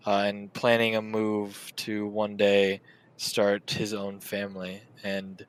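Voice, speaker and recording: A man's voice: on the low side, monotone, takes it slowly, smooth, rough recording. A man casually telling his character's story as a player in a tabletop game.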